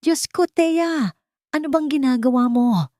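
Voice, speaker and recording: Babae na gumaganap bilang malamig at tusong biyenan, matamis pero nangungutya, mataas at mabagal. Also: female voice, measured pace, dark tone, no room sound, studio quality. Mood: shrill scolding. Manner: falsely sweet, mocking, turns shrill. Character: cold scheming rich older mother-in-law.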